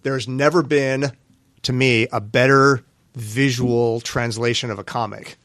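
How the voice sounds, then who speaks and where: A man's voice: mid-range voice, steady tone, measured pace, a bit bright, no room sound. Earnest man on a dry mic. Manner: earnest, hesitant with fillers. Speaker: podcast co-host. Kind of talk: casual chat.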